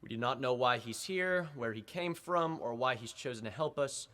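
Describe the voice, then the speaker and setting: An actor playing a pompous, testy district attorney making declamatory statements to the press in an old-time radio drama. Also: male voice, mid-range voice, animated, normal speed, fairly bright tone, close to an everyday voice. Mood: solemn. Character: blustering, evasive male district attorney at a press conference.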